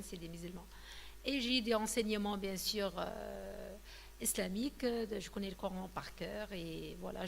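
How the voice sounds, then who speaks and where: A woman in her thirties, from Tunisia, tells her story in an interview with a gravelly edge to her voice. She talks haltingly, with many fillers and restarts. The voice rings with a long reverb, and a faint hum sits underneath. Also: medium pitch. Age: 30s. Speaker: guest giving a testimony. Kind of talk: personal testimony interview.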